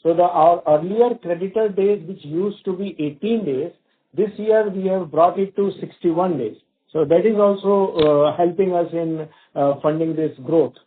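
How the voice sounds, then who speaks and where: A man's voice: high-pitched, normal speed. A male company executive, answering analysts' questions on an earnings call, hesitant with many fillers and restarts. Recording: tinny phone line.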